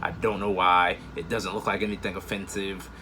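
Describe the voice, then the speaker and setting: A man's voice: low voice, little pitch movement, normal speed, bright, forceful tone, soft background music. A man hosting a reaction video, chatting casually and upbeat straight to his viewers with slangy turns of phrase. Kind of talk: casual direct-to-camera chat.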